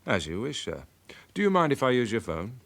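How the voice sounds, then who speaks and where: An actor playing a polite but relentless British police inspector, measured and insistent, in a radio crime drama. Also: male voice, medium pitch, sing-song, fairly bright tone. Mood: calm, calculating.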